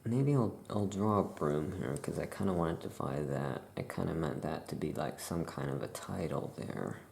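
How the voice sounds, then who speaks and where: A soft-spoken ASMR creator musing to themselves while doing crafts, with writing sounds and faint noise behind. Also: very deep voice, lively intonation, moderate pace, gravelly.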